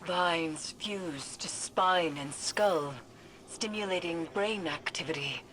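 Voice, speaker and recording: A woman's voice: on the low side, slow, noisy background. An actress playing a haunted woman mystic in a video game, guiding a soldier with solemn, formal, cryptic speech. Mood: ominous, solemn. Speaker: mission guide. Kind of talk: dramatic video game acting. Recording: loud music under the voice.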